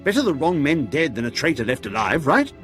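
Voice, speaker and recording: An actor voicing a pompous, cowardly, pampered Imperial official in a video game, with a fussy, self-absorbed and haughty manner. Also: male voice, a little high, sing-song, fairly quick, a bit bright, faint music behind. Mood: blithe, haughty. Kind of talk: comic video game acting.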